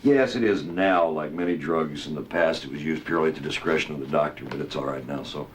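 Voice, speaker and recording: An actor playing a measured, cautious, conventional heart doctor in naturalistic drama dialogue. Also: male voice, rumbling low, a little hiss or hum. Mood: reassuring.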